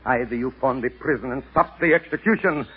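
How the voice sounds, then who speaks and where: An actor playing an imperious, menacing cult master and hypnotist in a theatrical, deliberate 1940s radio style. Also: male voice, mid-range voice, husky. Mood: cruel, commanding. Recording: dull old radio recording, music behind.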